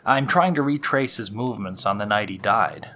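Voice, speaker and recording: A man playing a polite, nervous gentleman doctor in an audio drama, hesitant and prone to stammering as he investigates. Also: lowish voice, normal speed. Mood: polite, probing.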